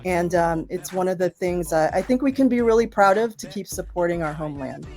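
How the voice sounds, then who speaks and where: A woman's voice: lowish voice, lively intonation, brisk, a little mellow, soft background music. A woman college professor chatting as a guest on a live show, talking freely with lots of fillers and asking the audience questions. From Boston area, USA. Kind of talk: casual live interview chat.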